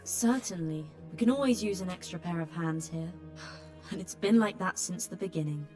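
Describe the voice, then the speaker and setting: An actress playing a weary, blunt healer who tends refugees, with dry exasperation, in a dramatic video game. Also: female voice, fairly low pitch, normal speed, a little mellow, faint music behind, natural voice. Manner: exasperated, dry, plain-spoken.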